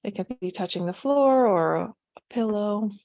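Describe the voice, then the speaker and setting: Female meditation guide, relaxed and warm in tone, mid-pitched, gentle and unhurried delivery. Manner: gentle, unhurried instruction with pauses.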